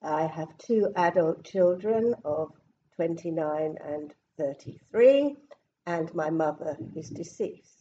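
A woman in her sixties talks about her part in a play, measured and reflective. Her voice is mellow and a little low. She sits back from the microphone, and the sound is muffled with a faint hiss. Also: animated, far from the mic. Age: 60s. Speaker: actor in the cast. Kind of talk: casual group interview. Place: rehearsal room.

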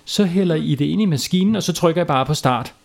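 A man's voice: on the high side, gravelly, a little mellow, voice pushed up. A man voicing an overconfident doctor who hands out absurd tips with hesitant fillers. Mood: self-assured. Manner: hesitant then self-assured, fillers. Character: bumbling overconfident male doctor.

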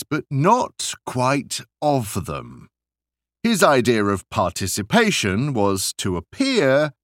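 A man narrating literary essays as an audiobook, with expressive, deliberate and theatrical phrasing. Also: mid-range voice, no room sound, clean studio sound.

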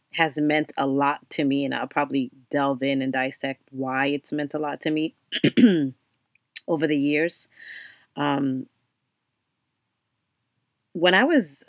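A young adult woman hosting her own podcast, talking alone in an earnest, reflective way with frequent ums and restarts, her voice slightly hoarse. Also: sits low, no room sound, clean studio sound. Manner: many ums and restarts, faith-centered, earnest. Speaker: podcast host. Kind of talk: reflective solo podcast monologue.

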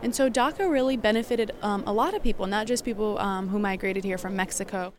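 A woman's voice: mid-range voice, brisk, a little hiss or hum. A young woman from Brazil, an activist organizer, speaking casually and earnestly in a field interview with some fillers.

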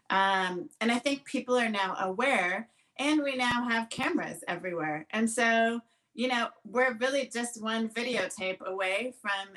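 A middle-aged woman, raised in the Netherlands, telling stories in an energetic, emphatic way as an interview guest. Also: on the high side, low-quality recording. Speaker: educator and guest. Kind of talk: conversational interview answers.

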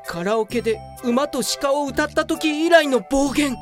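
Lady doing a nerdy young office dude, pompous n polite, comedy radio drama, high voice, bit of music. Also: measured pace. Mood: deadpan, self-important. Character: earnest delusional young male office geek, self-styled hero.

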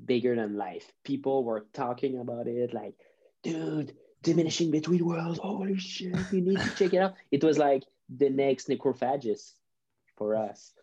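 A man in his thirties with a mellow, dark voice, telling stories in a loose, rambling way and restarting his sentences. The audio is dull and slightly muffled. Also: mid-range voice, normal speed, breathy edge. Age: 30s. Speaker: musician, guest. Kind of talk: casual chat, storytelling.

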